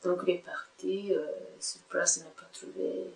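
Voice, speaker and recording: Halting, measured woman giving testimony. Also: on the low side, little pitch movement, gravelly, reverberant. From Rwanda. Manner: measured, hesitant, many pauses and fillers. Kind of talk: recorded personal testimony.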